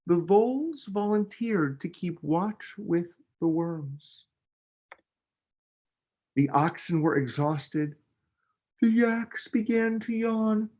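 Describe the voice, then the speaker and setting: A man, a children's book author, reading a picture book aloud with expressive, dramatic emphasis. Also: high-pitched, takes it slowly, long pauses. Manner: expressive, dramatic delivery with emphasis on key words.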